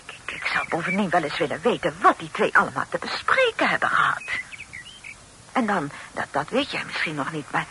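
Fretful, animated aunt, noisy recording. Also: female voice, deep voice, brisk, heavily acted. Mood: agitated, insistent. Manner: stammering, repeating words when agitated. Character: indignant, fretful middle-aged aunt, lady of the house. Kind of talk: Dutch period radio drama acting. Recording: noisy old radio play recording.

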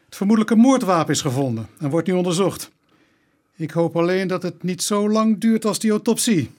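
An actor playing a sceptical, businesslike police inspector in a radio drama, with a dry, formal way of reasoning. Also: male voice, high-pitched, a little fast, darkish tone, close to an everyday voice.

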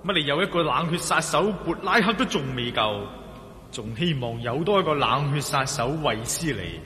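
Radio drama hero: shrewd, scornful adventurer, forceful lively delivery, Hong Kong Cantonese. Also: male voice, normal speed. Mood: defiant. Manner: dramatic, defiant, coolly scornful. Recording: echoey hall, rumbling background noise.

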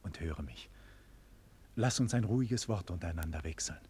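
An actor playing a dignified condemned nobleman in a 1940s German radio play, declaiming solemnly with breathy, husky murmurs and loud cries. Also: low-pitched, animated, normal speed, exaggerated voice. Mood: urgent, pleading. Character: doomed nobleman facing execution, dignified, passionate, grave. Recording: vintage 1940s radio studio recording.

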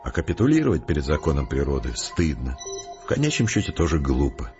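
An actor playing a calm, determined scientist who explains things earnestly and deliberately in an audio drama. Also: male voice, very deep voice, exaggerated voice. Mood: earnest, reasoning. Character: resolute philosophical male scientist, calm, determined, reasoning patiently. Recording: muffled, with prominent music behind. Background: music.

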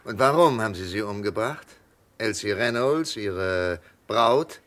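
An actor playing a stern, deliberate interrogator challenging a killer in a German radio crime drama. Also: male voice, lowish voice, lively intonation, fairly bright tone. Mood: stern, probing. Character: stern, measured male interrogator questioning a killer.

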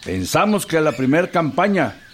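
An actor playing a courteous political organizer of an uprising in a radio drama, with a Mexican accent. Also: male voice, fairly high pitch, big pitch swings, moderate pace. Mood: concerned, planning. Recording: faint noise with birdsong.

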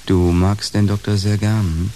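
An actor playing a bitter, self-loathing man in a naturalistic radio drama, probing and halting in his speech. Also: lowish voice, little pitch movement, dark tone, natural voice. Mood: insistent, tentative. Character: bitter, self-loathing male housemate seeking friendship. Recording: hissy radio play recording.

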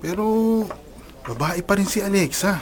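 A man's voice: high voice, normal speed, natural voice. A man playing a hesitant, reasonable student sidekick in a radio drama, in a cautioning manner. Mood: cautious.

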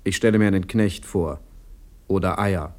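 Actor as low-voiced deadpan everyman. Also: moderate pace, close to an everyday voice. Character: plainspoken man telling deadpan anecdotes and grievances. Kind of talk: radio play monologue.

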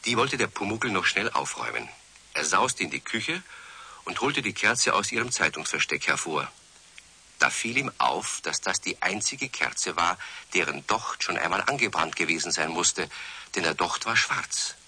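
A man narrating a children's radio play as a lively storyteller with a husky voice. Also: deep voice, normal speed, heavily acted. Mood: lively storytelling. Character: male storyteller of a children's tale.

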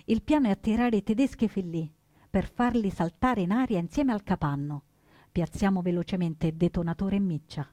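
A woman playing an earnest, proud young partisan who narrates a scripted stage drama with deliberate, dramatic phrasing. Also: medium pitch, darkish tone, dry recording, close to an everyday voice. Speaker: storyteller narrator.

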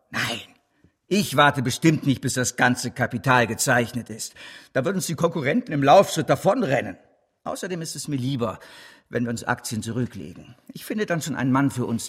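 An actor playing a driven, persuasive financier in a German radio drama, speaking in an emphatic, sweeping, rhetorical way. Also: male voice, mid-range voice, a bit bright, husky. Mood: scornful, brisk. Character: driven, persuasive male financier, fervent gambler at heart.

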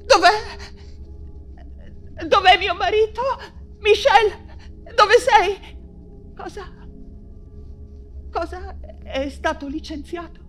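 An actress playing an anguished, feverish persecuted writer and mother in an intense theatrical radio monologue, halting and repeating her words. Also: extremely high pitch, fairly bright tone, soft background music, exaggerated voice. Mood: feverish, desperate, then bewildered, distressed. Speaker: stage actress.

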